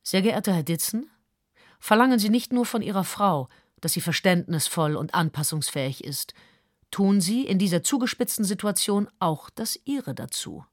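A woman's voice: mid-range voice, animated, moderate pace, no echo, studio quality, natural voice. An actress playing a stern female psychiatrist who reads a firm, admonishing letter to her patient.

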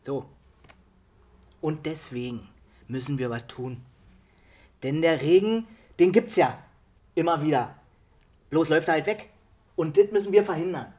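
A man's voice: on the high side, animated, unhurried, pauses often, a little mellow. An actor playing an inventive, brooding village man in a radio play, folksy and explanatory, with a Berlin-Brandenburg German accent. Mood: earnest, insistent. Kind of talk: naturalistic radio play dialogue.

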